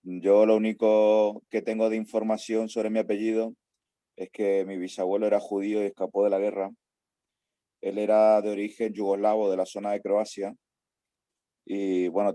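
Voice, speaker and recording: A man's voice: lowish voice, mellow, dry recording. A man from the audience politely asking a question.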